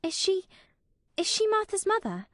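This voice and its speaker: A high-voiced, timid little girl. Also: lively intonation, moderate pace, no echo, clean studio sound. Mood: timid, earnest. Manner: tremulous, breathless, pleading.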